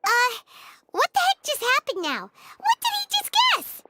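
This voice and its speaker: A woman's voice: huge pitch swings, measured pace, heavy background noise, full character voice. A woman voicing a tiny, chirpy female fairy companion who speaks bluntly and refers to herself in the third person. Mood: bewildered. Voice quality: squeaky, bouncy.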